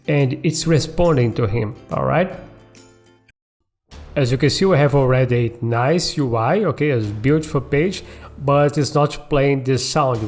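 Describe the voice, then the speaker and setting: Unhurried man teaching code over music. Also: medium pitch, a little mellow. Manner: checks in often with tag questions like okay and all right. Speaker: coding tutorial host. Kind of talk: casual step-by-step screen-recorded coding tutorial. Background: background music.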